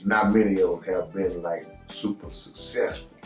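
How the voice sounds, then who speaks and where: A man's voice: low-pitched, steady tone, moderate pace, a little mellow, roomy sound, soft background music, rough recording. A man hosting a casual podcast interview, effusive and repeating "man" often. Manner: effusive, keeps saying "man". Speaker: podcast host.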